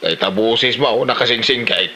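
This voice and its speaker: A man's voice: medium pitch, moderate pace, pushed and bright, a little hiss or hum, natural voice. A man acting a rural father in an Ilocano comedy drama, with an Ilocano accent. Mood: chiding, questioning.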